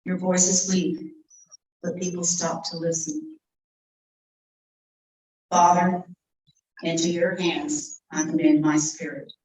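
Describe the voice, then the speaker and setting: A grieving woman disciple mourning at the cross, acted by a woman, anguished and halting in her pleas. Her voice sits low and is heard from across an echoey room through a distant microphone, with faint background hiss. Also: level tone, a little mellow. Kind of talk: live dramatic monologue.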